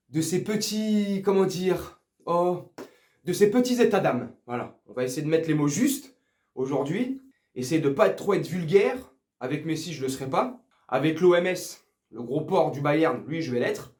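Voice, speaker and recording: Male football vlogger, high voice, crude confrontational banter, roomy echo. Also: lively intonation, moderate pace, darkish tone. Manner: crude, slangy, teasing, confrontational. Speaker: football fan video host. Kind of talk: casual ranting commentary to viewers. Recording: echoey room.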